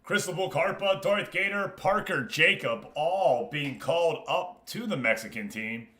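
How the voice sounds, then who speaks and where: Bright-voiced man streaming in a roomy space. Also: on the high side, lively intonation, measured pace. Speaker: streamer and host. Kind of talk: casual livestream chat, game commentary. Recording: roomy, echoing room sound.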